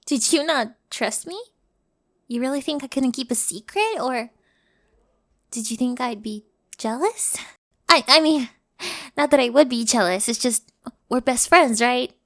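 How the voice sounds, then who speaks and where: A woman's voice: high voice, a little slow, long pauses, a bit bright, no room sound, clean studio sound. A woman playing an insecure, easily flustered young delivery girl in an audio roleplay, stammering and emotional. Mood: hurt, flustered. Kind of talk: scripted audio roleplay acting.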